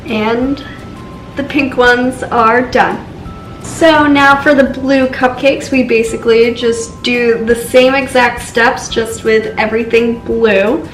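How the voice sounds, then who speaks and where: A congested young woman walks through a baking tutorial in a chatty, unhurried way, leaning on "so" and "just." Her voice sits a little high and sounds stuffy. She is recorded from a distance in a roomy space, with faint music underneath. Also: fairly even intonation. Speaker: baking channel host.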